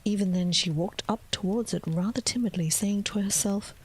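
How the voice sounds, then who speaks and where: A woman reading a children's classic aloud in a soft, gentle whisper, as in ASMR storytelling. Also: mid-range voice, moderate pace, husky, low-quality recording.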